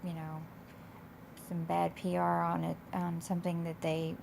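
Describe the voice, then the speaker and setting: A woman instructor with a slightly raspy, hoarse voice, explaining coursework in a casual, loosely phrased, conversational way. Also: on the low side, completely flat delivery, measured pace, darkish tone. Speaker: college instructor. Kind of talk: informal explanation of course assignments. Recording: clear background noise.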